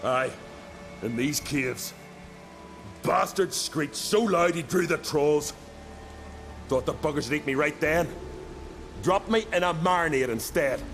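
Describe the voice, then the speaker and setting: An actor playing a loyal, hot-headed young island warrior in a video game, with an earnest, colloquial way of telling a tale. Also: male voice, on the high side, a little slow, pauses often, a bit bright, faint music behind. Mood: rueful, eager. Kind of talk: dramatic video game voice acting.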